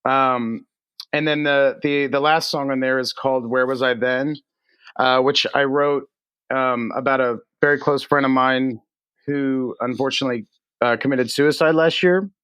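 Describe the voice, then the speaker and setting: A man from Texas, a musician guest, giving casual interview answers in halting, hesitant phrases. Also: medium pitch, steady tone, a bit bright, smooth, no room sound. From Texas, United States. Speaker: singer-songwriter guest.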